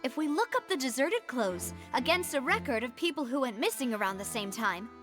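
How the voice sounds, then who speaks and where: High voiced young lady boss from a game, determined, speechmaking, music under it. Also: big pitch swings, fairly quick. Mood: resolute. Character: resolute young woman, syndicate leader arguing for her dead father. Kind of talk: video game courtroom drama acting.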